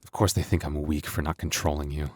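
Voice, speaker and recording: A man's voice: a bass voice, level tone, brisk, a little mellow, breathy edge, no echo. A man playing a young werewolf boyfriend, tender but protective with a tough edge, in an intimate ASMR roleplay. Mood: troubled, confiding.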